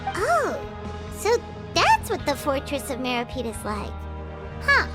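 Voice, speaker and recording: A woman voicing a small, excitable, easily frightened childlike fairy companion in a video game, with a squeaky voice. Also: unhurried, full character voice. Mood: curious. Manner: refers to self in third person, exclamatory. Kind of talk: English video game voice acting. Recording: music under the voice. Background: game music.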